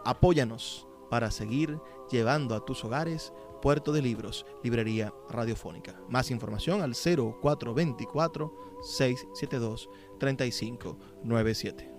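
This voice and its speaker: A man's voice: mid-range voice, unhurried, few pauses. A man reading a scripted promotional announcement for a radio programme. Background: music.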